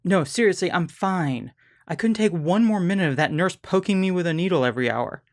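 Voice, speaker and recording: A man's voice: high voice, lively intonation, a little fast, no room sound, studio quality. An actor playing a stubborn, dryly joking man in an audio drama, talking in a casual, wry way. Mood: wry reassurance.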